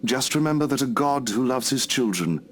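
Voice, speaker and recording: An actor playing a calm, amiable young priest in a visual novel, speaking in a measured, formal, gently sermonizing way. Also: male voice, medium pitch, a little hiss or hum, natural voice. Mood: gently preaching.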